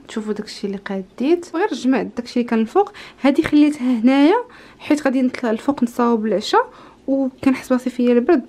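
A woman in her twenties with a Moroccan accent talks to her camera like a friend. Her voice is on the high side and she rattles along quickly, dropping in French words. It is a casual home recording with noticeable background noise. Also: darkish tone. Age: 20s. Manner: informal, chatty, mixes in French loanwords. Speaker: lifestyle vlogger. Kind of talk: casual chatty vlog talk to camera. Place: indoors in a bedroom at home.

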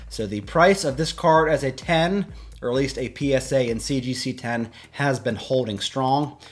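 Man presenting an upbeat rundown. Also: medium pitch. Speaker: YouTube host. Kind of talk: scripted-style auction price rundown to camera. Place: small indoor room with shelves of collectibles.

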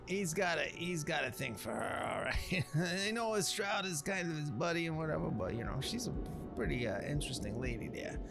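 A man with a gravelly voice, playing a streetwise storyteller in rambling, slangy talk over gameplay. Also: a little high, expressive, moderate pace. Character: streetwise storyteller recounting a local legend. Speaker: let's player narrating a roleplay story. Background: game music.

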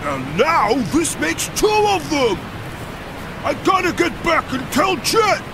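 A man's voice: very high voice, huge pitch swings, takes it slowly, noisy background, heavily acted. An actor playing a big, dim, blustering male albatross henchman in a video game cutscene. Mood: excited, blustering.

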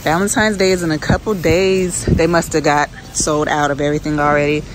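A woman's voice: sits low, fairly even intonation, a little fast. A woman chatting informally as she narrates her shopping, recorded on a handheld phone inside a large grocery store. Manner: informal, conversational. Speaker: shopping vlogger. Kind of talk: casual shopping chat, narrating as she goes. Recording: clear store ambience noise behind voice. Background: supermarket background noise.

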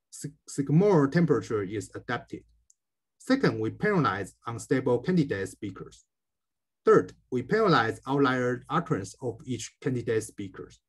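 Husky man presenting research. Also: mid-range voice, a little mellow. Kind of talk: scripted academic presentation narration.

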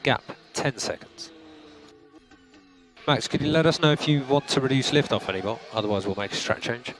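A man working as race engineer, giving calm, clipped gap numbers and setup instructions to a driver. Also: medium pitch, normal speed. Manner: calm, methodical, clipped updates. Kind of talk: team radio instructions. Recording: compressed team radio with music.

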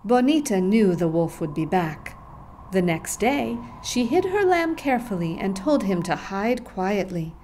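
A woman's voice: fairly high pitch, dark tone. Woman narrator with background noise. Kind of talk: scripted children's story narration.

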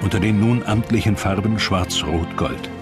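A man narrating a scripted history documentary in a measured, dramatic storytelling manner. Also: on the low side. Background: music.

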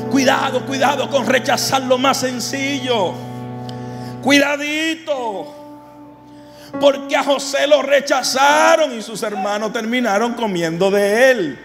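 High-voiced Dominican pastor preaching fervently. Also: male voice, steady tone, takes it slowly, gravelly, in a large hall, soft background music. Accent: Dominican Spanish. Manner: fervent preaching, swings between shouts and hushed lines. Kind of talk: sermon. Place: large church stage with an audience. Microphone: handheld microphone.

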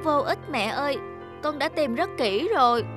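A woman voicing an earnest, sensitive young boy in an animated story, polite and pleading in manner. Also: high voice, moderate pace. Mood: anxious. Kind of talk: Vietnamese animated moral story dubbing. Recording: music behind the voice.

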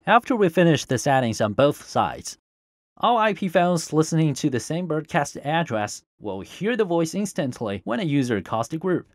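A man's voice: fairly high pitch, very animated, normal speed, no room sound. A man hosting a scripted tech tutorial as a voice-over, recorded close to the microphone. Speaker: tutorial host. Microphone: close voice-over microphone, dry.

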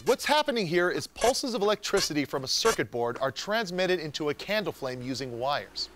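A man's voice: on the high side, sing-song, a little fast, fairly bright tone, slight background noise. A young man hosting a show with scripted comedic narration in a jokey, wry manner. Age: young adult. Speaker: host.

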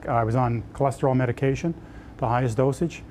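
A man in his fifties speaking earnestly in an interview, pausing with fillers, inside a hospital room. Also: mid-range voice, crowd noise. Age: 50s. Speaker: interviewee, heart attack survivor. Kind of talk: casual interview answers. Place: hospital cath lab indoors.

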